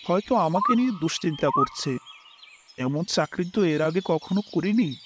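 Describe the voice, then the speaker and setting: A man's voice: on the high side, slight background noise, natural voice. A man playing a worried, dutiful family man who tells his own misfortune as a horror story, with dramatic, emotive delivery. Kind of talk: first-person horror story narration with acted dialogue.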